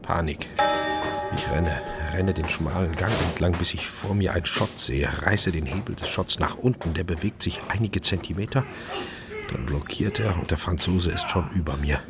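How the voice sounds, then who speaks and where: An actor playing a tense chef who narrates his own crime story in a German radio drama, terse and inward, with a husky, rough voice. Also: deep voice, moderate pace, loud music behind the voice. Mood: anxious, urgent.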